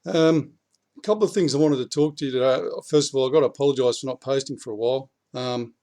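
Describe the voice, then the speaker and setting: A man with an Australian accent, a little croaky after the flu, talking bluntly and casually to camera from his backyard about politics. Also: medium pitch, fast talker, mellow. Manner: blunt, swears, rising heat when urging. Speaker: prepping vlogger.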